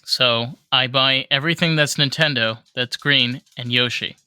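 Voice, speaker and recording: A podcast co-host with a fairly deep voice, chatting casually and informally and swearing freely. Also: normal speed, bright, forceful tone, smooth, no echo. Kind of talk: casual banter.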